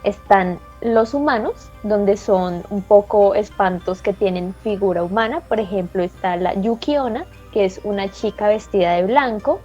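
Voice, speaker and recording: A woman co-hosting a talk show, explaining things carefully and pausing on fillers as she goes. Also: medium pitch, mellow, faint music behind. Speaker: co-host. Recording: slightly dull lo-fi audio.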